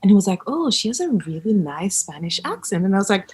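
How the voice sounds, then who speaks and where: A woman's voice: mid-range voice, very animated, fast, husky. Woman, podcast host, effusive, giggly, casual chat. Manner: warm, effusive, giggly interviewer.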